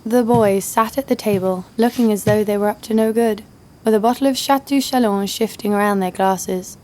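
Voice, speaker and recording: A woman's voice: mid-range voice, moderate pace, warm tone, natural voice. A young woman playing a sarcastic, foul-mouthed bounty hunter who narrates her own story in an audio drama. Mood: wry narration. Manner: dry, sardonic. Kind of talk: first-person narration and dialogue in audio drama. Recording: music behind the voice.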